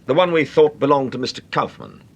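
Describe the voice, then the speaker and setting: Lively, urbane English gentleman detective. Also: mid-range voice, a little fast, creaky, muffled recording, a little hiss or hum. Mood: smooth, probing. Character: urbane, unflappable gentleman detective, quick and wry. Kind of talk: vintage British radio drama acting.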